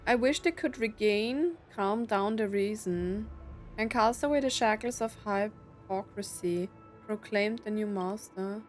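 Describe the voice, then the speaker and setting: Female game streamer, casual playthrough chatter and read-aloud, mid-pitched, faint music underneath. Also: measured pace.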